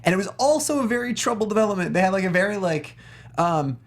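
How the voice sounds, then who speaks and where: Male, slightly high voice, enthusiastic, casual video game talk, show co-host. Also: animated, fast talker. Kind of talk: casual panel chat about video games.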